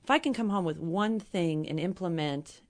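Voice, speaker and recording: Midwestern woman guest, hoarse, slightly low voice, earnest explainer. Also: moderate pace. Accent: Midwestern American. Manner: earnest, explains with everyday examples. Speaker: company president, guest. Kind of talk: casual interview talk.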